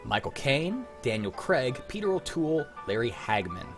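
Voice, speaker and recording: A man's voice: medium pitch, sing-song, normal speed, music in the background. A man hosting a casual quiz in a joking, crude manner. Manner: crude, jokey. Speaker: quiz host.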